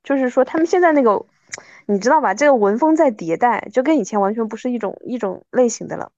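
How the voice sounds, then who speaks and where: A woman's voice: fairly high pitch, lively intonation, quick pace, a little mellow, no echo, lo-fi sound. A woman in her thirties chatting casually as a podcast guest, in a self-deprecating, conversational way. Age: 30s.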